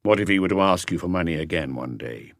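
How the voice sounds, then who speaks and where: A male reader acts a middle-aged police inspector from a mystery audiobook. He is low in pitch, patient and probing, asking things in a calm, restrained way, recorded dry and close. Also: no echo. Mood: calm, measured. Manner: measured, restrained questioning.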